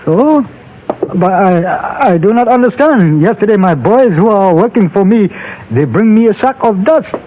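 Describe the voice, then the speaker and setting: A courteous Chinese merchant in an old radio Western, with a high voice and a Chinese accent. His phrasing is formal, trusting and measured. The recording is dull and muffled. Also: animated, slight background noise. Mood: polite, puzzled. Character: courteous, trusting older Chinese storekeeper and mine owner. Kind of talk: old-time Western radio drama acting.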